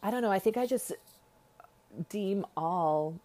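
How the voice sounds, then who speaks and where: A woman's voice: mid-range voice, animated, unhurried, dark tone. A woman with a slight lisp hosting a casual podcast monologue, rambling in a self-deprecating, blunt and sarcastic way. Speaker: podcast host, nurse.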